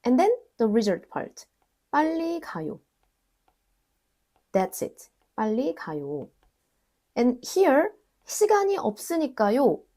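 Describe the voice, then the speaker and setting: A young woman, a native Korean speaker, leads an online grammar lesson in English. Her voice sits a bit high. She talks in a relaxed, explanatory way and says Korean example phrases slowly and more than once. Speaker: Korean language teacher.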